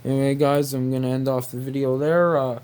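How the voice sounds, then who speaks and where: A man's voice: medium pitch, flat delivery, a little fast, darkish tone, clean tone. A man rambling casually to viewers, exasperated and incredulous, repeating himself and peppering his talk with mild slang swearing. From New Brunswick, Canada. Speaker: video creator.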